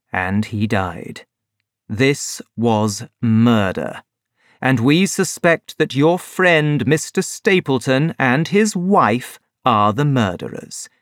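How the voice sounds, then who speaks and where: A reader voicing a composed male detective who explains and gives orders in measured tones. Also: mid-range voice, dry recording, studio quality, exaggerated voice. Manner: calm, measured, authoritative. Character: calm, commanding male detective.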